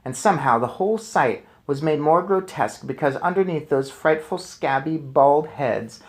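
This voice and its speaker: A man's voice: a little high, normal speed. Man dramatically reading a storybook aloud. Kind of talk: expressive children's book narration with casual asides.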